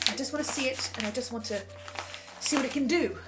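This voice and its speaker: A woman playing a tormented, hungry archivist in a halting, theatrical horror monologue addressed straight to the listener. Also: high voice, loud music behind the voice, close to an everyday voice. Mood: hushed, tempted. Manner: theatrical, halting, swinging from whispers to outbursts. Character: tormented, obsessive, hungry archivist confessing to the audience. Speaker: host of a horror listen-along series. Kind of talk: dramatic in-character monologue to the listener.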